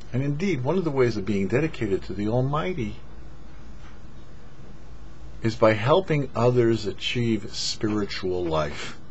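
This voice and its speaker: A man delivers a short religious teaching as a rabbi, with a very lively, swinging intonation and a middle pitch. He phrases emphatically and pauses for weight. The recording is dull and lo-fi, with faint noise behind him. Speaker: rabbi giving a weekly Torah talk. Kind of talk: short sermon on the weekly Torah portion. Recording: muffled lo-fi audio with background noise.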